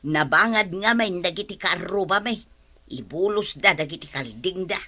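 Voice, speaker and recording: A woman's voice: on the low side, expressive, normal speed, bright, forceful tone. An actress playing an aggrieved village woman in an Ilocano radio comedy drama. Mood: indignant. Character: aggrieved village woman complaining about neighbours' goats.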